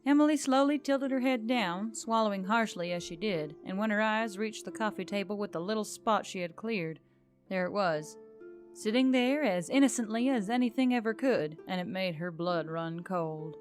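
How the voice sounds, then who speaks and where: A woman's voice: mid-range voice, moderate pace. A woman reading scripted narration of a fantasy story. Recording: background music under the voice. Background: music.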